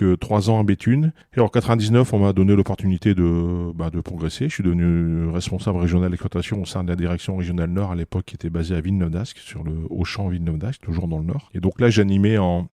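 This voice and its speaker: A man's voice: deep voice, dark tone, no echo, studio quality. A man in his fifties from northern France, a business owner chatting as a podcast guest, talking with many fillers and restarts. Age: 50s. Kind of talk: casual interview talk.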